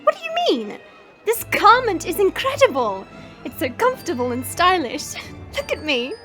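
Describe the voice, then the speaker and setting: A woman's voice: very high voice, wildly swooping pitch, normal speed, sharp tone, faint music behind, full character voice. A woman playing a small young princess in disguise, haughty, playful and naive, with a proud, teasing manner. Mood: proud delight.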